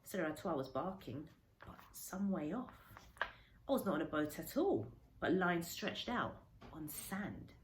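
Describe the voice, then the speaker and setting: A woman teacher reading a children's story aloud, dramatic and emphatic, with deliberate pauses and shifts in volume. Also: lowish voice, big pitch swings, a little hiss or hum. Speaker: primary school teacher reading to a class.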